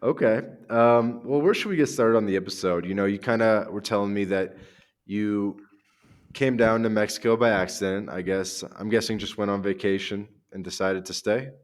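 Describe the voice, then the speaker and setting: A man hosting a podcast, asking questions in a casual, easygoing way with frequent ums and pauses. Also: fairly low pitch. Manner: easygoing, hesitant, many ums and uhs. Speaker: podcast host. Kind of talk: casual interview.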